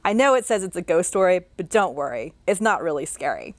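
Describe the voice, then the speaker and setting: A woman's voice: on the low side, very animated, brisk, no echo. A children's librarian, a woman, reading a picture book aloud and asking young listeners questions along the way.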